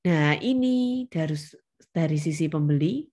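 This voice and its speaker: A woman gives a lesson in a slightly low voice. She is didactic and leans on the important words. She often turns to her students to check that they understand. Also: expressive, measured pace, dark tone. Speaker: accounting lecturer. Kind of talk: spoken lesson with questions to students.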